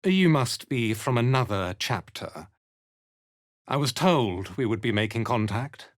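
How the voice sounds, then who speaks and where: An actor playing a haughty, ruthless cult commander in a video game, speaking in an imperious, deliberate, disdainful manner. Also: male voice, mid-range voice, animated, dry recording, clean studio sound, close to an everyday voice. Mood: haughty, measured. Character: haughty, ruthless male cult commander, contemptuous slave driver. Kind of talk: video game character acting.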